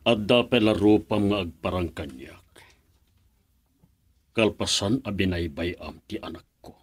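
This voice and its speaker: A man with a Filipino accent acting a stern, unforgiving middle-aged father in a radio drama, cold and raspy. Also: deep voice, measured pace. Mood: scornful. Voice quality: raspy, gravel-edged. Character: stern, unforgiving father protecting his daughter.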